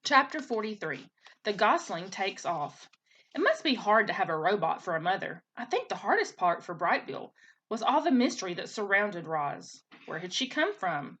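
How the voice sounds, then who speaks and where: A woman teacher reading a children's storybook aloud in an expressive way. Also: medium pitch, normal speed, highs cut off.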